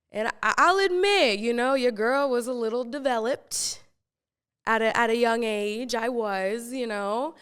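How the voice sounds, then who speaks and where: A woman's voice: fairly high pitch, clear, resonant tone, big-room sound. A young woman, hosting a podcast and telling stories from her own life in a chatty, slangy way full of fillers. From San Francisco Bay Area. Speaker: podcast host. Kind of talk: casual storytelling.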